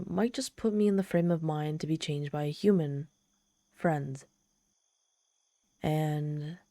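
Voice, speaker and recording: Woman acting as ancient tsundere demoness, low-pitched, soft dark tone, haughty, teasing, lonely underneath, dry close ASMR roleplay. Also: no room sound. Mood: hesitant, lonely. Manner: haughty, theatrical, softening into shy vulnerability. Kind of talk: ASMR audio roleplay, addressing the listener.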